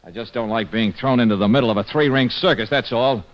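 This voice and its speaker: A man's voice: medium pitch, fast, natural voice. An actor playing a tough, sarcastic, exasperated American private eye in an old-time radio drama, wisecracking as he goes. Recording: dull, noisy old radio recording.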